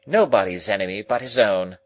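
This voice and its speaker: A man acts out a middle-aged society lady from a storybook, full of indignation and self-pity. The voice is lifted well above his own, theatrical and emphatic, exclaiming as if deeply wronged. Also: expressive, unhurried, slightly rough. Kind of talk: dramatised audiobook reading.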